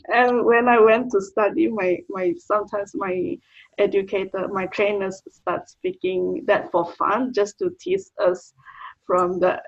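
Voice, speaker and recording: A woman's voice: medium pitch, vocal fry, dull audio. A young woman from Malaysia with a Malaysian accent, Mandarin her first language, chatting plainly as an interview guest about her work with children. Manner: plain, chatty, with short restarts. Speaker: guest, early childhood educator. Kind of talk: casual interview answers.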